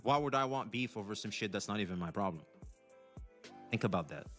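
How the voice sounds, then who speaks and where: A man's voice: fairly low pitch, lively intonation, a little fast, fairly bright tone, faint music behind. A man giving opinionated commentary in an emphatic, scolding way.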